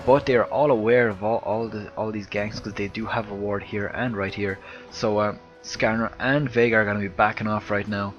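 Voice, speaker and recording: A man with an Irish accent and a soft, slightly lispy voice, giving live video game commentary into a close headset mic. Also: fairly low pitch, steady tone, moderate pace, hardly any pauses, highs cut off, faint music behind. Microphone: headset close to the mouth.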